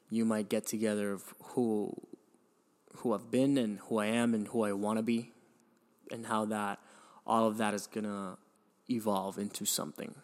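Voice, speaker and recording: A young man from Venezuela talks through his own story on his podcast. His voice sits low and keeps to a narrow, level pitch. He pauses, sighs and restarts often as he thinks aloud. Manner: hesitant, many restarts and fillers, sighs. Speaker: podcast host. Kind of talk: reflective personal storytelling.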